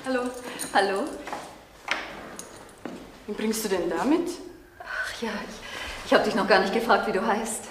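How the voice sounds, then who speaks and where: Radio crime drama: forthright young female activist actress, mid-pitched, casual and teasing, noisy old recording. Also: a little slow, pauses often, natural voice. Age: young adult.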